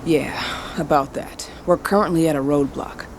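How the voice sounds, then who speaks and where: An actress playing a frank, supportive activist comrade and old friend, casual and blunt, in a video game cutscene. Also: female voice, deep voice, moderate pace. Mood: matter-of-fact. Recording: clear ambient noise behind the voice.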